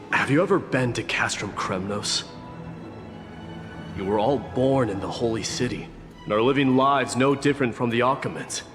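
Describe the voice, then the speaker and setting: Give the proud crown prince of a warrior people , played by a man, a stern, formal, commanding delivery at a middle pitch. It is video game dialogue with long hall reverb and music clearly behind it. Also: measured pace, long pauses, close to an everyday voice. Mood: weary, solemn.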